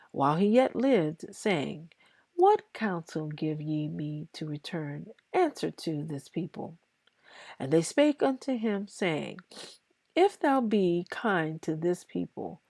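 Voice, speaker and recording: A woman reading scripture aloud in a deliberate, halting way, phrase by phrase. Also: on the low side, vocal fry, mellow, dry recording. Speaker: scripture reader.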